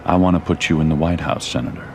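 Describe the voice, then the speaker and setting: An actor playing a calm political fixer who speaks politely with veiled threat. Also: male voice, very deep voice, fast talker, creaky, a little hiss or hum. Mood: quiet menace. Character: calm, threatening male political power broker.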